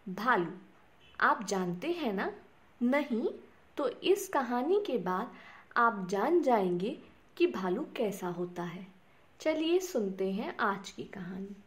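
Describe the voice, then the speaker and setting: A woman narrating a scripted bedtime story for children, speaking to them directly in simple words. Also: a little high. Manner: addresses small children directly with simple questions and repeated words.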